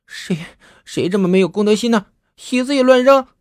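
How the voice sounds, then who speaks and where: A man voicing a shrill hot-tempered young woman. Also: brisk, a little mellow, dry recording, studio quality, natural voice. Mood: pained, angry. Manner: shrill, whiny complaining.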